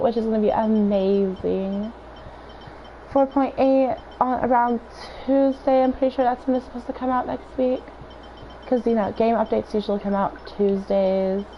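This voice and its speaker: A woman streamer with a hoarse, raspy voice, rambling through casual commentary while she plays. Her voice sits a little high, and the stream audio is dull and lo-fi with a faint hiss underneath. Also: fairly even intonation, measured pace. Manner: rambling, chatty, thinking aloud. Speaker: game streamer.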